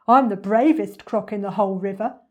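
A woman's voice: mid-range voice, a little fast, lightly acted. Woman putting on a gloating, greedy enormous male crocodile. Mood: boastful.

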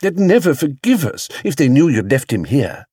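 A man's voice: on the high side, sing-song, moderate pace, dark tone, breathy edge, no echo, clean studio sound, voice pushed up. A man voicing a kind but firm English wife in a children's storybook, exclaiming and appealing. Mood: firm, pleading. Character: warm-hearted, firm, persuasive middle-class English wife.

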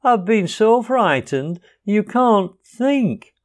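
A man's voice: on the high side, very animated, takes it slowly, darkish tone, pitched above the performer's own voice, heavily acted. A man voicing a small, timid, worn-out male mole who speaks plaintively. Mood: frightened. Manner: frightened, plaintive.

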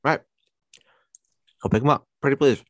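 Congested, hoarse-sounding man on podcast. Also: mid-range voice, moderate pace, a bit bright, dry recording. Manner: rambling, lots of fillers and restarts. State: congested with laryngitis. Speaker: podcast co-host. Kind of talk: casual podcast chat. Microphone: close to the mic.

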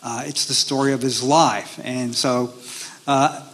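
A man talks through an interview in halting steps, leaning on frequent fillers between phrases. His voice sits at an ordinary middle pitch. He describes his stage work plainly. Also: steady tone, fairly bright tone, poor audio. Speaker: performer of a one-man history show, interview guest. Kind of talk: casual interview talk.

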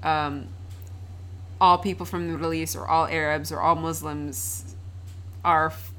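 A woman's voice: low voice, little pitch movement, measured pace, bright, forceful tone, smooth. Earnest young woman from Palestine. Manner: earnest, thoughtful, frequent fillers. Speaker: podcast guest. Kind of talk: casual interview conversation.

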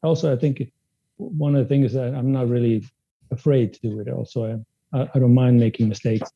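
A man whose first language is Spanish, raised in Sweden, talks in a very dark, mellow voice with a husky edge. He is hesitant and restarts often as he answers interview questions. The podcast audio is dull and dry. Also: medium pitch, steady tone, fairly quick. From Spanish-speaking country, raised in Sweden. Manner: hesitant, many restarts and fillers. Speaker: guest. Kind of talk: casual interview.

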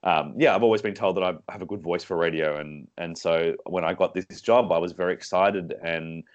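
An Australian man with a hoarse voice from being sick, explaining things conversationally as an interview guest, often repeating words as he goes. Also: sits low, fairly even intonation, fairly quick, muffled recording.

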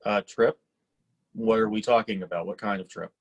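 A man's voice: lowish voice, steady tone, normal speed. A man acting a sceptical, blunt grown son in a staged screenplay reading, speaking in a dry, matter-of-fact way. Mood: sceptical, sardonic.

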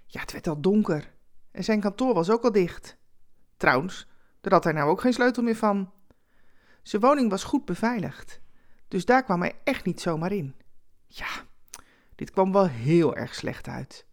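A woman narrating a scripted story expressively, with conversational asides and dramatic pauses. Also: lowish voice.

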